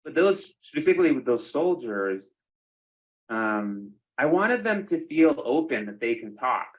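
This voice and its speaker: A man's voice: medium pitch, sing-song, measured pace, low-quality recording. A man speaking candidly and conversationally as a guest, often breaking off and rephrasing his thoughts. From Iraq or Iraqi family, American. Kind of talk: conversational personal account.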